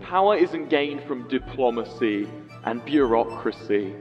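A man giving a dramatic roleplay monologue in a deliberate, emphatic, speech-making manner. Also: medium pitch, expressive, dull audio, over music.

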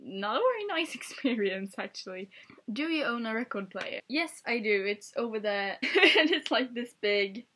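Swedish girl vlogging from her bedroom, kinda high voice, giggly and chatty, webcam mic. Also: sing-song, moderate pace, sharp tone, a little hiss or hum. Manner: chatty and playful, often laughing and gesturing. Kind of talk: casual tag video chat. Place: small bedroom, indoors. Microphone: webcam at desk.

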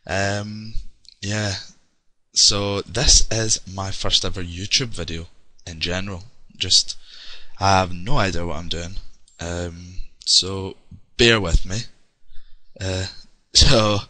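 A man's voice: sits low, fairly even intonation, slow, pushed and bright. A young Scottish man chatting casually with lots of ums while narrating his gameplay. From Scotland. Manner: rambling, chatty, many ums. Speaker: let's play gamer. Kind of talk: casual game commentary.

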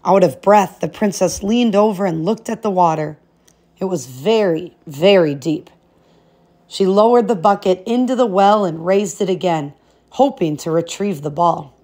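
A woman's voice: on the low side, normal speed, dark tone. Woman reading a storybook aloud. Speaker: read-aloud narrator.

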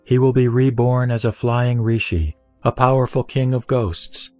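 A serene male sage played by a man teaches in a scripture audio drama. He recites formally and solemnly in measured phrases, recorded close and dry over music. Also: medium pitch, little pitch movement, a little mellow, no room sound, natural voice. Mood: solemn, instructive. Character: the Buddha, serene male teacher instructing a disciple.

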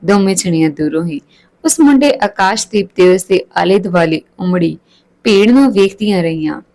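A woman reading a scripted story as a storyteller, with expressive, literary delivery. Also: on the low side, moderate pace.

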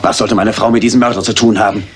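An actor playing an indignant aristocratic lord in a German radio crime drama. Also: male voice, on the low side, steady tone, a little hiss or hum, natural voice. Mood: incredulous. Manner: brisk, disbelieving.

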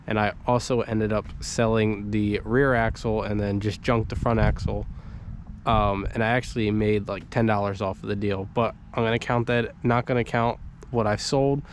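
A man's voice: on the low side, a little hiss or hum. A young man with a stuffy cold, casually walking through his vehicle build as a vlogger, with lots of ums and likes. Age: young adult. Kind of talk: casual vlog walkthrough. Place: inside a parked vehicle, then outdoors beside it.

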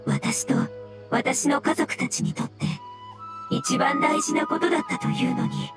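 A sorrowful little floating creature from a video game. The voice is high and female, with formal, humble, halting phrasing. Music plays clearly underneath. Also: expressive. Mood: wistful, regretful. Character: sorrowful, courteous floating jellyfish-like fungus creature.

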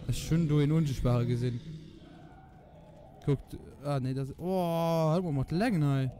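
A man with a slightly high voice comments live on his game. He is a native Luxembourgish speaker, excitable and casual, firing off repeated callouts and swearing. The home recording is clearly noisy, with game sound behind him. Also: unhurried. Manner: excitable, repeats words in urgent bursts, swears. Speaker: gamer recording a let's play. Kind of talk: live gameplay commentary, casual chat with teammates. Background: video game sound.

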